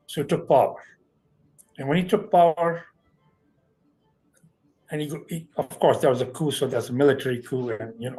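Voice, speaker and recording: A filmmaker from Afghanistan recalls his early years in a calm, measured way. His voice sits at an ordinary middle pitch, and he often circles back and restarts his phrases. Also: poor audio. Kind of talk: personal recollection.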